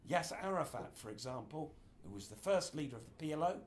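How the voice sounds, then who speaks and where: A man's voice: a little high, lively intonation, fast talker, slight background noise. A middle-aged man giving an informal history lecture in a small indoor room, gesturing broadly as he explains. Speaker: speaker giving a history talk.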